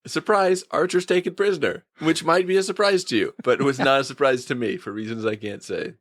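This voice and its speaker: A man with a creaky voice, casually reviewing a TV episode as a podcast co-host. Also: mid-range voice, fairly quick, a bit bright, no room sound, clean studio sound.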